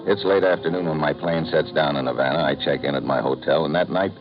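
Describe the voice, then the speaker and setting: An actor playing a hard-boiled, wisecracking government agent in a 1950s radio drama, narrating with tough, wry humor. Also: male voice, rumbling low, quick pace. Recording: lo-fi 1950s radio transcription with music.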